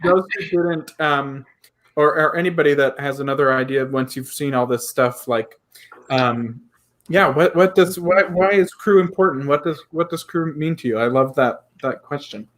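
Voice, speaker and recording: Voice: medium pitch, moderate pace, fairly bright tone. A host of a live theater stream, leading a casual chat and prompting the guests in a hesitant way, with many restarts.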